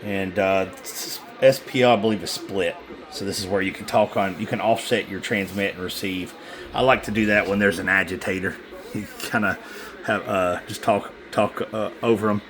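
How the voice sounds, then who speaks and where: A man's voice: fairly low pitch, steady tone, normal speed, a crowd in the background. A man casually showing off used radio equipment, unscripted, in a hesitant, rambling way and often correcting himself. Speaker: seller demonstrating used radio gear. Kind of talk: casual unscripted product demo. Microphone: handheld phone. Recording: clear background noise on handheld phone.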